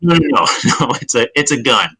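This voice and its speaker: A man's voice: mid-range voice, expressive, fairly quick, sharp tone, husky, poor audio. A man chatting casually as a player at a tabletop role-playing game.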